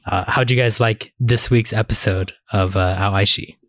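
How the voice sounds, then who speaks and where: A man's voice: fairly low pitch, steady tone, moderate pace, fairly bright tone, no room sound, clean studio sound. A man hosting a casual anime discussion, talking in a rambling, slangy way with casual swearing. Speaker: podcast host.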